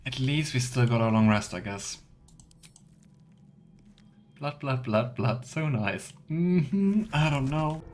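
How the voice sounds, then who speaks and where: A man's voice: mid-range voice, lively intonation, takes it slowly, long pauses, a little mellow. A man doing casual let's play commentary, thinking aloud and musing as he role-plays.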